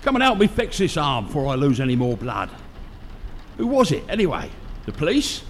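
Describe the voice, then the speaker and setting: A gruff, animated wounded crook. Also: male voice, a little high, a little fast, slight background noise. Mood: urgent, irritable. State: in pain from a wound. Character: gruff, wounded male criminal, impatient and secretive. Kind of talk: radio crime drama acting.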